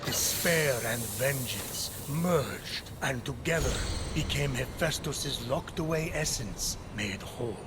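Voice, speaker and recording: A man's voice: mid-range voice, animated, slow pace, few pauses, heavy background noise. A man playing a solemn storyteller narrating an epic myth in a video game. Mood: solemn, ominous. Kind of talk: scripted dramatic game narration. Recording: loud game music underneath.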